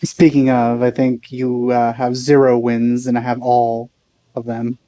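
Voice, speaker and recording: A man's voice: medium pitch, monotone, a little slow, mellow, low-quality recording. A man giving casual let's play commentary with dry, teasing asides.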